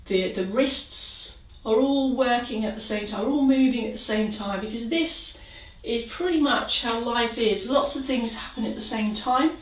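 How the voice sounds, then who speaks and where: A woman leading a gentle exercise class, giving calm, encouraging step-by-step cues. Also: on the high side, far from the mic. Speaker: exercise instructor. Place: kitchen. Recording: roomy sound from away from the mic.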